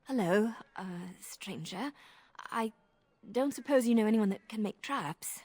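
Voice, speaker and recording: A woman playing a worried, hesitant village woman in a video game, speaking haltingly. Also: a little high, lively intonation, a little mellow, no room sound. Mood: anxious.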